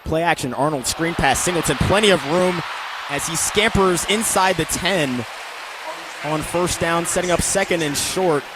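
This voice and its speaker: A man's voice: on the high side, normal speed. An American man calling football play-by-play for radio in clipped, descriptive announcer phrasing. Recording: loud stadium and outdoor ambient noise.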